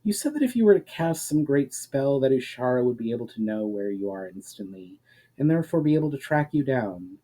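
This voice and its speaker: A man's voice: medium pitch, sing-song, fairly quick, dark tone. A man reads the part of a female warrior in a fantasy storybook. She speaks formally and inquisitively, probing with questions, and can turn a little sarcastic. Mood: questioning.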